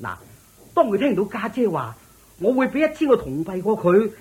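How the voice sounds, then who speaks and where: A man's voice: high voice, very animated, normal speed, darkish tone, breathy edge, exaggerated voice. A man with a Hong Kong Cantonese accent playing a smug, tricky, miserly lord in a radio drama, theatrical and condescending. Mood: smug lecturing.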